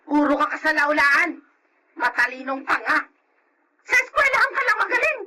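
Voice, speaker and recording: A woman's voice: sits high, very animated, moderate pace, sharp tone, a little hiss or hum, full character voice, low-quality recording. A woman playing a bitter, quarrelsome elderly Filipino grandmother in a melodrama, scolding shrilly and wailing theatrically. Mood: scornful, angry. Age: older. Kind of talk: melodramatic drama acting.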